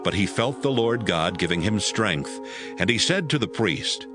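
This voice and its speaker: A man's voice: on the low side, animated, moderate pace, over music. A man narrating a dramatized audio Bible as scripted storytelling.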